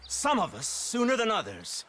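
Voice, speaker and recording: An actor playing a cold, menacing government lawman who speaks in a crisp, clipped, coldly polite way. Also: high-pitched, huge pitch swings, moderate pace, bright, forceful tone, a little hiss or hum, exaggerated voice. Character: cold, menacing male lawman, polished and contemptuous. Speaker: government agent. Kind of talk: video game cutscene acting.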